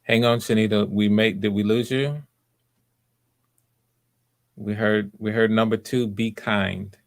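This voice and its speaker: A man's voice: on the low side, steady tone, measured pace. A man casually hosting live online auditions, chatting in an encouraging, affirming way. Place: remote live video stream.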